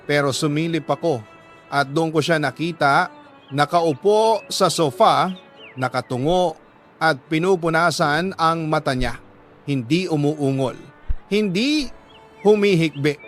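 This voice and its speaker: A man reading a dramatic scripted story in a solemn, measured way, pausing for emphasis. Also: fairly high pitch. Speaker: narrator reading a listener's letter.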